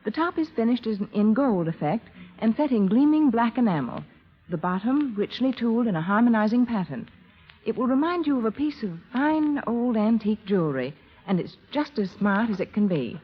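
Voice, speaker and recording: Spokeswoman, 1930s radio commercial, animated read with husky edge, dull archival recording. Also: fairly high pitch, normal speed. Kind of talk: scripted 1930s radio product pitch.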